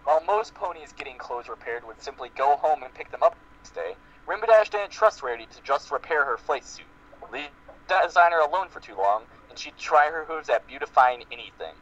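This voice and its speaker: Guy doing amateur dramatic reading of fanfic, animated pitch, muffled cheap recording. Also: a little fast. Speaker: reader in a group dramatic reading.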